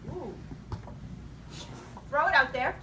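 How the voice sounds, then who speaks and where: A high-pitched woman's voice carries across an echoey classroom, with clear noise around it. She teaches young students, putting questions to them and repeating their answers as she goes. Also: takes it slowly, sharp tone. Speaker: elementary school teacher. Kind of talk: interactive classroom read-aloud lesson. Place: indoor school classroom. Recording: roomy, distant, noisy classroom recording.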